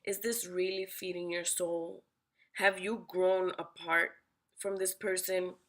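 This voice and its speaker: A woman giving a weekly tarot horoscope reading, direct and questioning, her voice raspy from being under the weather. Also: fairly low pitch, flat delivery, takes it slowly. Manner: direct, questioning, addresses the listener by sign. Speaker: tarot reader.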